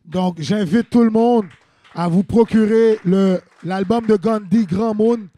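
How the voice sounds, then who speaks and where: A man's voice: sits high, takes it slowly, dark tone. A man with a Quebec French accent hosting a web TV interview, hyping up his guests. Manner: enthusiastic, hypes up guests. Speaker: web TV host.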